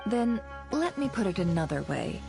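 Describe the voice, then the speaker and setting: An actress playing a composed, calculating female boss in a game cutscene, speaking in a cool, deliberate, commanding way. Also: on the low side, big pitch swings, creaky, over music. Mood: coolly persuasive. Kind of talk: video game cutscene voice acting.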